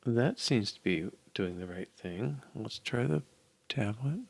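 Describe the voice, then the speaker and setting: An older man talks himself through app code at a very slow pace. His voice is fairly low with a lot of fry. He is casual and tentative, musing half to himself in a dry, close recording. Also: lively intonation, dry recording. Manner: hesitant, muttering to himself, musing. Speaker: programmer live-coding a mobile app. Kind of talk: casual think-aloud coding commentary. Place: small indoor room at a desk.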